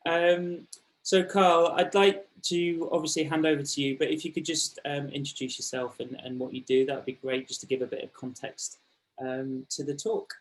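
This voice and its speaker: A man's voice: on the high side, level tone, normal speed, low-quality recording. A man hosting an online talk session, introducing a guest in a friendly but hesitant way with many ums. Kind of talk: casual spoken introduction.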